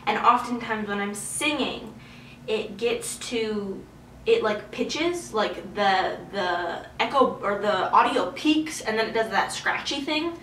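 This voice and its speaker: A woman's voice: fairly high pitch, fairly bright tone, a little hiss or hum. Woman vlogger, candid and emotional, a little far from the mic. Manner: emotional, candid, swears freely. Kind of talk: candid casual talk to camera. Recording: roomy echo, heard from a distance.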